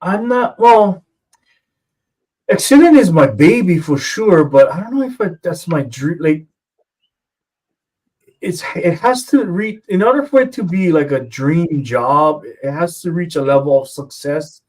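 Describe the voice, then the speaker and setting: A man's voice: fairly high pitch, expressive, a little slow, darkish tone, rough recording. A man co-hosting a casual art livestream, rambling and leaning on "you know what I mean". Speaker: co-host and comic artist.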